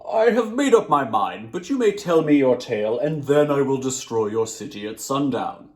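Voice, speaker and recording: A man voicing an enormous, imperious sandstorm djinn in a storybook, loud, grandiose and commanding. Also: mid-range voice, animated, moderate pace, natural voice. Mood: menacing.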